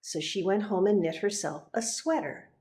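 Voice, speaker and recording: A woman narrating a children's picture book, expressive and deliberate, pausing for emphasis. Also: medium pitch. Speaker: reverend reading a picture book.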